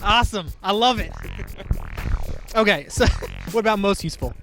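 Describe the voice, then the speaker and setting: A man's voice: sits high, lively intonation, normal speed, over music. A man hosting casual street interviews with playful, teasing banter.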